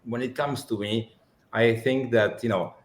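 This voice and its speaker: A man with a French accent, his first language Armenian, speaks reflectively as a panel guest. His voice sits at an ordinary middle pitch. The room gives a clear echo around him. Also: steady tone, unhurried. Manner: reflective, many restarts and "you know" fillers. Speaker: panel guest, business leader. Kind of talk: webinar panel discussion. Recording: roomy echo.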